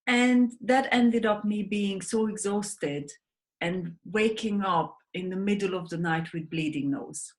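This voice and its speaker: A woman's voice: medium pitch, unhurried. Hungarian-accented woman telling her story. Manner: earnest, storytelling, frequent restarts. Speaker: guest, sleep advocate. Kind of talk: interview conversation.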